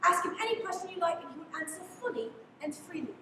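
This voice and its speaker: A woman's voice: very high-pitched, lively intonation, fast talker. A woman playing a grandiose music-hall announcer in a radio play, declamatory and showy, drawing out words for emphasis. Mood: grand showmanship. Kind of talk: radio play acting, stage patter. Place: high school stage performance, actors masked. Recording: echoey hall from far off, noisy.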